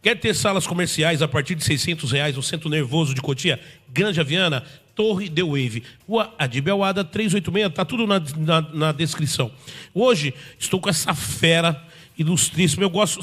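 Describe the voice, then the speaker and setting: A man's voice: fairly high pitch, moderate pace, fairly bright tone, slightly rough. A man from Brazil hosting an interview, eager and upbeat as he reads sponsor plugs. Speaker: host.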